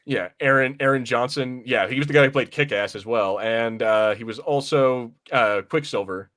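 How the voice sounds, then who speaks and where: A man's voice: mid-range voice, moderate pace, a bit bright. A man co-hosting a podcast, chatting casually about movies and often rephrasing himself mid-sentence. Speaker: podcast co-host. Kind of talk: casual movie review chat.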